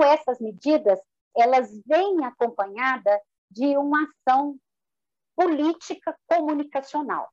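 A woman with a Brazilian accent giving formal, measured political analysis as a panelist, articulate and orderly. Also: a little high, darkish tone, no echo, muffled recording. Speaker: panelist in an online debate. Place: indoor room, live online debate.

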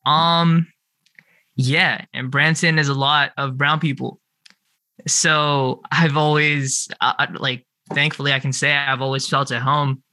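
A young man from Canada talks in a halting, thoughtful way, with frequent ums and a pace that speeds up and slows down. His voice is bright and sits in the middle of the range, and it is recorded dry and close. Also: medium pitch, little pitch movement, dry recording. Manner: hesitant, full of ums, shifting pace. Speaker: youth artist guest. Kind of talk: casual chat.

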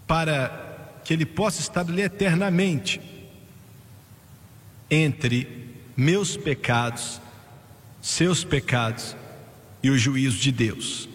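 Animated preacher man in a reverberant hall. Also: slow, long pauses. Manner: animated preaching, rising to high exclamations. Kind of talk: sermon.

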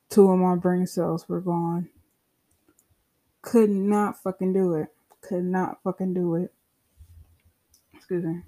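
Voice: medium pitch, warm tone. A podcaster in their twenties talking in a candid, rambling solo monologue full of swearing and side remarks. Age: 20s. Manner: candid, profane, rambling with asides. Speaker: podcast host.

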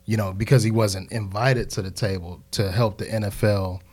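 Low-voiced thirties man reasoning calmly. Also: slightly rough. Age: 30s. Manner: measured, builds reasoned points, hedges often. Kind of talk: casual group discussion.

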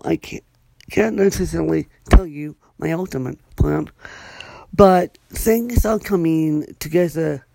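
A young adult speaker hosts a solo podcast, drawling slowly through a loose, rambling update. The talk halts often, full of fillers and restarts. The voice sits close and dry, with no room sound. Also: medium pitch, gravelly, dark tone, dry recording. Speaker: podcast host.

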